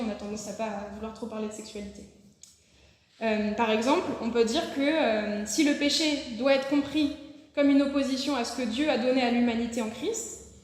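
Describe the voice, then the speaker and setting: A woman's voice: a little high, a little mellow. A young woman giving an informal theology talk, chatty and full of fillers and restarts. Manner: conversational, many fillers and restarts. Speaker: theology lecturer. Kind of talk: informal talk to a church audience. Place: church hall. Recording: very echoey hall, faint noise.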